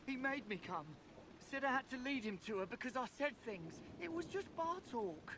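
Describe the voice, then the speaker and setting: A terrified, cowering informant in a fantasy video game. His high voice jumps about wildly as he pleads, with clear noise behind him. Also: animated, normal speed. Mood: frightened, pleading. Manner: panicky, pleading.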